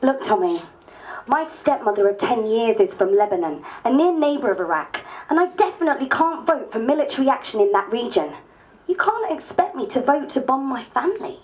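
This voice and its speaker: A woman's voice: fairly high pitch, big pitch swings, fairly quick, darkish tone, low-quality recording. A woman playing an earnest, politely stubborn new member of parliament in a dramatised diary reading. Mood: reluctant, firm.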